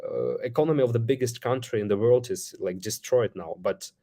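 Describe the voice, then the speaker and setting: A man from Ukraine, a company CEO, answering audience questions in a live online session, speaking haltingly with many fillers and restarts. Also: medium pitch, vocal fry, warm tone.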